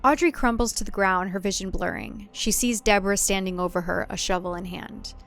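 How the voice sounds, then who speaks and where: A woman's voice: medium pitch, fairly quick, creaky, a bit bright, a little hiss or hum. A woman reading scripted thriller fiction as a narrator, in a dramatic storytelling style.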